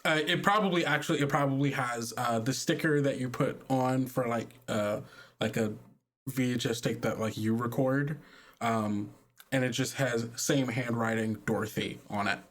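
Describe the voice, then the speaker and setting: A man narrating a tabletop game as game master, casual and halting as he searches for words. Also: mid-range voice, a bit bright.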